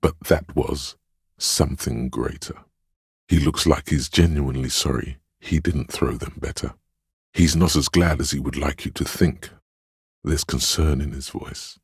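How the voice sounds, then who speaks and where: A man voicing a sensitive inner voice in a video game, narrating other people's feelings in a measured, gentle, literary way. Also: a bass voice, vocal fry, breathy edge, no echo, studio quality, full character voice. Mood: concerned, observant.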